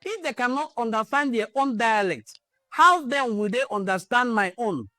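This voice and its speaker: A woman's voice: on the high side, lively intonation, moderate pace, sharp tone, no room sound. Rallying Nigerian woman, dry close sound. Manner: rallying, repetitive exhortations. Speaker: cultural channel host. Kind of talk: impassioned cultural address.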